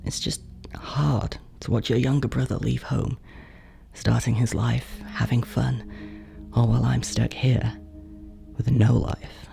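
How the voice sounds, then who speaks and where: An actor playing a jealous, guilt-ridden older brother in a horror audio drama monologue, halting and confessional, with a gravelly, creaky voice. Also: male voice, medium pitch, steady tone, warm tone, soft background music. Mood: bitter, melancholy. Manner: hesitant, confessional, halting, drops toward whispers.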